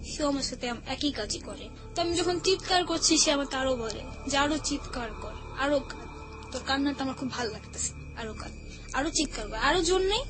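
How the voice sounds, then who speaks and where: Music plays clearly behind a high-pitched young woman with a Bangladeshi Bengali accent. She recounts her own experience as a guest. Her delivery is tearful and halting. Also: poor audio. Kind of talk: personal testimony. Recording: music under the voice. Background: background music.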